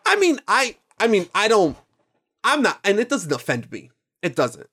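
Swearing young man, rambling, fairly high. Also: huge pitch swings, moderate pace, sharp tone. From Mexican and Nicaraguan heritage. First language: Spanish. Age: 20s. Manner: rambling, swears freely, quotes himself. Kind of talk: casual chat.

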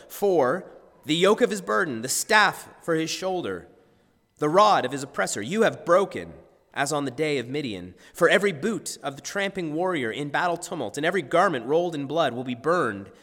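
A man preaching a sermon as pastor, his voice husky and hoarse today, talking in a conversational way with self-deprecating humor. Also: a little high, a bit bright. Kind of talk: sermon with scripture reading and prayer. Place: church sanctuary. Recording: long church hall reverb.

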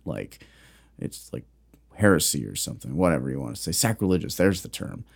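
Deep-voiced man rambling in casual chat. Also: big pitch swings, brisk, vocal fry, husky. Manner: rambling, many fillers and restarts, jokey. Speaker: podcast co-host.

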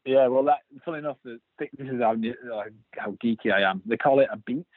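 A man's voice: medium pitch, fast talker, breathy edge, no room sound. Yorkshire guy chatting easy on a tinny call line, dry sound, laid back and self-deprecating. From England. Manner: easygoing, chatty, self-deprecating, many fillers. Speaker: guest, brewery designer. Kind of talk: casual remote interview chat.

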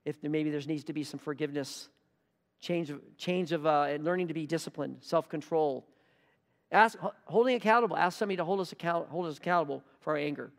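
A man's voice: a little high, fast, creaky, in a large hall. A man preaching a sermon as pastor, earnest, repeating key words for emphasis. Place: church hall.

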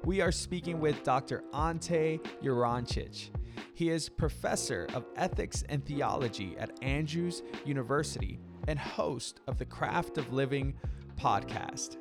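A man's voice: medium pitch, measured pace. A man hosting a faith podcast, introducing a guest and asking questions with enthusiasm. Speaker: podcast host. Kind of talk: scripted podcast introduction and interview. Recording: music playing beneath the voice.

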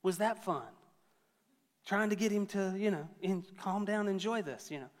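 A man's voice: high-pitched, normal speed, big-room sound. A man in his thirties preaching a sermon to a church, conversational and storytelling with self-deprecating humor. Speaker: pastor.

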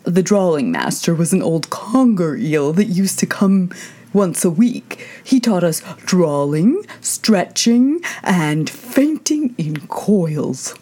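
A woman's voice: lowish voice, very animated, warm tone, heavily acted. A woman voicing a mournful old Mock Turtle from a storybook, sighing and drawing out the words sorrowfully. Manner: slow, drawn-out, sorrowful sighing delivery.

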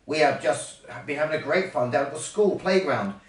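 A man's voice: a little high, animated, normal speed, roomy sound, recorded from a distance, lightly acted. A man voicing a spiteful female wasp, a mocking, gloating bully in a children's story. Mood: taunting.

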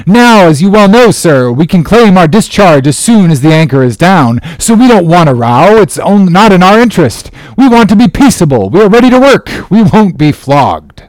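A rebellious sailor and ringleader, acted by a male narrator, bold and defiant. His pitch is raised and lively as he jerks out his sentences in a storybook drama, recorded dry. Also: normal speed, no echo, poor audio. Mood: insistent. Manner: jerking out sentences, crying out boldly. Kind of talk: dramatic audiobook character voice.

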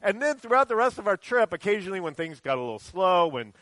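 Chatty pastor telling a story. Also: male voice, sits high, very animated, quick pace, fairly bright tone, dry recording. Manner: casual storytelling, many fillers. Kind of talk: church service sermon to children.

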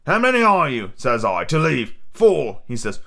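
A man's voice: medium pitch, very animated, normal speed, vocal fry, fairly bright tone. A man voicing a domineering old pirate sea-cook captain with swaggering, threatening seafaring talk. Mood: gruff, sly.

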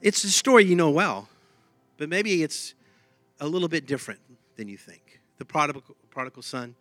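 Emphatic Texan preacher, bright tone. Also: male voice, fairly high pitch, very animated, moderate pace, no echo, soft background music. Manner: emphatic, conversational preaching with repeated phrases. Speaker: pastor. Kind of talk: sermon.

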